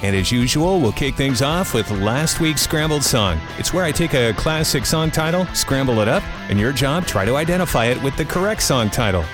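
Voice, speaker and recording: A man's voice: medium pitch, animated, moderate pace, few pauses, over music. A man hosting a radio-style morning show podcast, with a jokey, self-deprecating, upbeat delivery. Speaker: podcast host.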